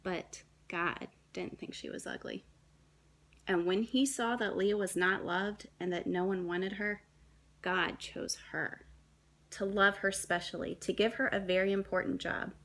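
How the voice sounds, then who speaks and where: A woman's voice: mid-range voice, fairly even intonation. Woman reading a children's storybook expressively. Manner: dramatic, deliberate phrasing with hushed and emphatic moments.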